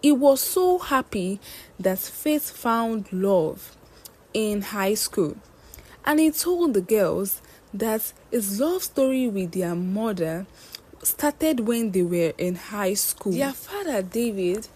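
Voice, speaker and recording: A woman's voice: medium pitch, animated, slow pace, dark tone, rough recording. A woman narrating a scripted folktale as a storyteller.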